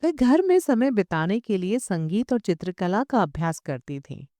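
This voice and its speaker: A woman reading a literary short story as an audiobook narrator, in measured, expressive storytelling. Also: medium pitch, no echo.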